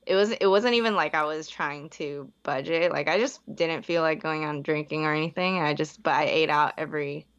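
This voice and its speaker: Chatty young woman, low muffled voice. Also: fairly quick, hardly any pauses, fairly bright tone. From San Francisco Bay Area. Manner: chatty, heavy use of "like", frequent self-restarts. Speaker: guest. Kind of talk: casual interview.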